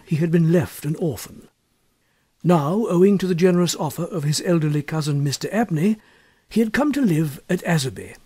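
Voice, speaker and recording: A man reading a literary story as an audiobook narrator, measured and expressive in his storytelling. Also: a little high, husky. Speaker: audiobook reader.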